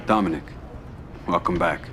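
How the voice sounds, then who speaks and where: An actor playing a middle-aged American mafia boss in a game cutscene, cold and commanding, speaking in measured, terse phrases. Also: male voice, low-pitched, background noise, lightly acted. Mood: grave. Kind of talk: video game cutscene acting.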